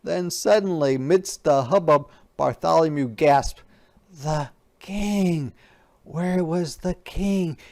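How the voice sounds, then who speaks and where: A man reading a storybook aloud interactively, dramatic in the telling, with quieter asides to explain and ask questions. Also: mid-range voice, vocal fry. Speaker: teacher reading a picture book aloud.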